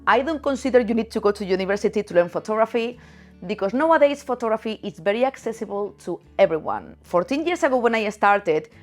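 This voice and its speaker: A woman in her thirties from Spain with a Spanish accent, talking frankly and chattily to camera as a photography vlogger, laughing easily. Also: fairly high pitch. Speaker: photography youtuber. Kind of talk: casual direct-to-camera talk.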